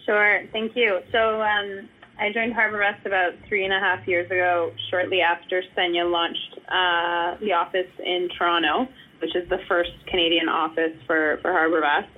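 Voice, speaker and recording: A woman guest investor describing her career in a measured way, with some fillers. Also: fairly low pitch, level tone. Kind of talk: interview answers. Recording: phone-like lo-fi line.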